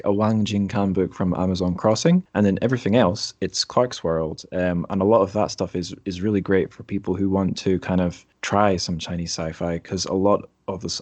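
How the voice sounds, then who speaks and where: A man's voice: low voice, a little mellow, no echo. A man hosting a podcast interview in a casual, chatty way, with informal asides.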